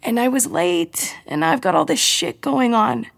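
A woman's voice: fairly high pitch, animated, a little slow, vocal fry, pitched above the performer's own voice, full character voice. A woman voicing an anxious, blunt woman scientist and wife in an audiobook, talking casually. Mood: flustered, guilty. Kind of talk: audiobook character dialogue.

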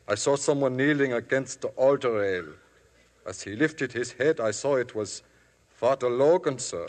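A man's voice: medium pitch, moderate pace, darkish tone, natural voice. An actor playing a humble male witness in a 1950s radio drama, deferential and polite in manner. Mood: earnest. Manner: deferential, always adds "sir". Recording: vintage radio broadcast, long hall reverb.

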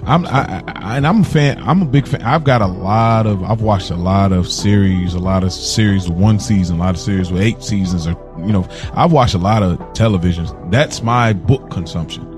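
A man's voice: lowish voice, moderate pace, few pauses. American man chatting casually, music behind. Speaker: podcast co-host. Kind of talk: casual podcast chat.

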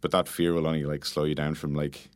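A man's voice: extremely low pitch, little pitch movement, a little fast, smooth, no echo. A man being interviewed, explaining things casually and informally with lots of "like" and "you know". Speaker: interview guest, product manager. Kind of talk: casual conversational interview answers.

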